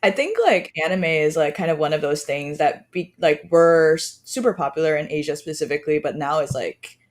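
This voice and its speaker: A woman's voice: sits low, brisk. A woman, a startup co-founder, explaining casually on a podcast with many fillers and trailing phrases.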